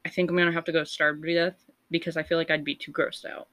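A young woman with a low voice co-hosting a casual podcast, reading out would-you-rather questions and laughing often. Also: little pitch movement, fast talker, fairly bright tone. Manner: giggly, loose and chatty. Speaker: podcast co-host.

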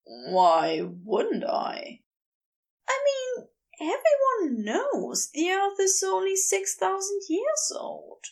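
A woman's voice: very high-pitched, huge pitch swings, drawn-out words, creaky, recorded from a distance, pitched above the performer's own voice, full character voice. A woman voicing an earnest, polite, easily flustered man who is eager to please in an audiobook story. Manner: polite, bright, hesitant. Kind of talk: fan fiction audiobook character voice.

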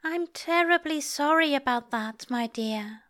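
Woman as anxious genteel male angel for audiobook fiction: raised pitch, halting, apologetic, dry sound. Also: fairly bright tone, no echo, full character voice. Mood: mumbled apology. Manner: halting, old-fashioned polite, mumbling.

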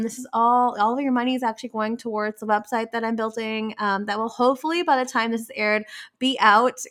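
A woman's voice: fairly high pitch, a bit bright. A woman hosting a casual podcast interview, chatty, with lots of fillers and frequent laughs. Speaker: podcast host.

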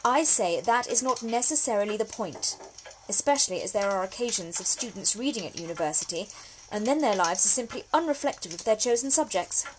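A woman's voice: medium pitch, expressive, fairly quick, a little hiss or hum, natural voice, rough recording. A woman playing a genteel, frank young Victorian letter writer in an audio drama monologue, speaking with formal, precise diction. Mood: earnest. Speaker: actor reading a solo audio drama. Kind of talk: period monologue, letters read aloud.

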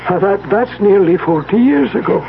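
High-voiced elderly servant, tinny radio. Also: male voice, lively intonation, creaky. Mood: wistful. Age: older. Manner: halting, deferential, old-fashioned. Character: elderly, deferential family servant, wistful about bygone magic days. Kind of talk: 1970s radio drama acting. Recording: tinny, noisy old radio broadcast.

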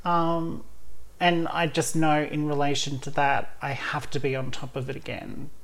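A woman with a notably low voice talks openly in a relaxed chat. She laughs often between points. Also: measured pace, creaky, poor audio. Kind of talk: casual chat.

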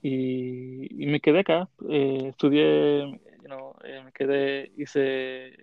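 A man's voice: mid-range voice, little pitch movement, slow pace, vocal fry, no echo, narrow, tinny audio. A man in his thirties from the Dominican Republic, answering interview questions casually with many fillers and English words mixed in. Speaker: interview guest, content creator.

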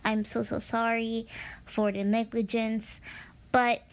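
A woman's voice: a little high, level tone, takes it slowly. A young woman from the American Midwest, whose first language was Spanish, hosting her own podcast in a chatty, upbeat way with many ums and restarts. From United States, Midwest. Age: young adult. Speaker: podcast host. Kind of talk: casual solo podcast talk.

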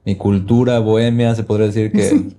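A man in his thirties with a low voice, from Veracruz, co-hosts a casual podcast. He keeps his answers short and slips jokes in between them, recorded in a church live room. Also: level tone, a little fast, mellow. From Veracruz, Mexico. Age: 30s. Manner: joking, short replies. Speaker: podcast co-host, musician.

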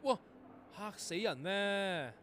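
A man's voice: high voice, lively intonation, slow pace, fairly bright tone, a little hiss or hum, heavily acted. Man playing a weary, grumbling young composer, lightish voice. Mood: irritated. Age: young adult. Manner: grumbling, dry, sighing. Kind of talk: Cantonese radio drama acting.

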